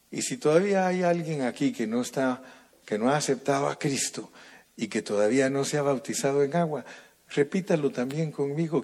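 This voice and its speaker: A man's voice: mid-range voice, darkish tone. A man preaching a sermon at a night church service and then leading a fervent prayer. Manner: slow, emphatic preaching, rising to fervent prayer.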